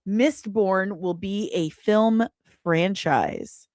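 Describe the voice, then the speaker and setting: A woman's voice: medium pitch, drawn-out words, a little mellow, no echo, studio quality. A woman hosting a casual livestream, chatting playfully and emphatically straight to her viewers. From Britain. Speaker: livestream host and comic book writer.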